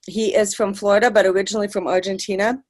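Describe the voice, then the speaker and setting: A woman's voice: mid-range voice, little pitch movement, quick pace. A woman hosting a webinar, introducing her guest in a friendly, loose way with fillers. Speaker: webinar host.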